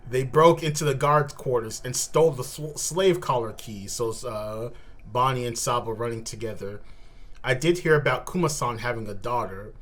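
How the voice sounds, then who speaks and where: A male host doing a live reaction to manga. He reads dialogue aloud and adds his own commentary, moving between slower reading and animated exclamations at a middling pitch.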